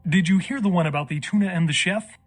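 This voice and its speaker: Game role: quirky male joke-teller, dry and rambling, raspy high voice, faint music behind. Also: fast. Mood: droll. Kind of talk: video game voice acting.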